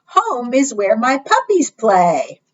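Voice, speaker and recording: A woman's voice: fairly high pitch, wildly swooping pitch, slow pace, pitched above the performer's own voice, exaggerated voice. Woman as a friendly storybook sled dog, slightly muffled. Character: friendly mother sled dog, helpful and breezy.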